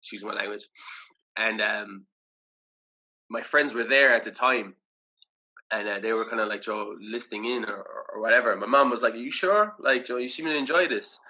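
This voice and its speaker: Irish man, young adult, casual interview talk, halting with fillers, bright voice, muffled recording. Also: fairly low pitch. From Ireland. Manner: reflective, loose, many fillers and restarts. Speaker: podcast guest.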